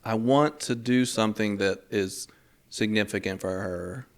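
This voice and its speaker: A man in his thirties, co-hosting a podcast in relaxed, rambling chat, laughing often. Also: on the low side, measured pace. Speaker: podcast co-host. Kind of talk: casual chat.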